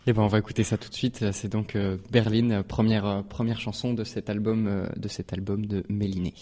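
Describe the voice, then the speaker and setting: A male radio co-host with a lowish voice, introducing the next song with a few hesitant fillers. His voice carries a long reverb tail. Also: little pitch movement, fast talker, dark tone, slight background noise. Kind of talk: radio presenting.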